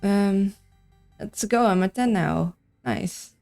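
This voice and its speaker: A woman's voice: medium pitch, slow pace, vocal fry, darkish tone, soft background music. A woman with a Dutch accent, streaming a game and chatting casually with her viewers, thinking aloud as she plays. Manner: chatty, reads and answers chat, thinks aloud. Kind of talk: casual livestream chat while playing.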